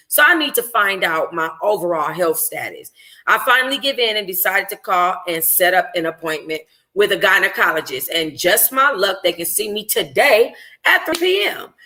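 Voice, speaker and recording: Bright-voiced woman reading a novel aloud. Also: medium pitch. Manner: conversational, expressive, slangy. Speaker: book club host reading a novel aloud. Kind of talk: informal audiobook-style reading of a first-person novel.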